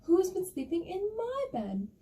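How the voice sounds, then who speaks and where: A woman's voice: very high voice, huge pitch swings, moderate pace, dark tone, far from the mic, pitched above the performer's own voice, full character voice. A woman voicing an indignant medium-sized bear in a fairy tale, asking accusing questions. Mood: outraged.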